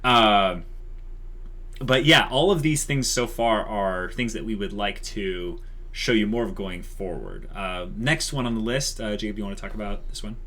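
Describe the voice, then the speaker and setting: A man's voice: on the low side, normal speed, bright, forceful tone. A young man co-hosting a channel announcement, speaking casually and conversationally with plenty of likes and you-knows. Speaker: channel co-host.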